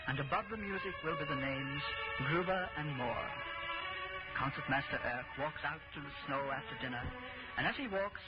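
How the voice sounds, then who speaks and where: A man narrating a scripted 1940s radio drama in a solemn, measured storytelling style. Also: on the high side. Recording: muffled vintage radio recording. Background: loud music.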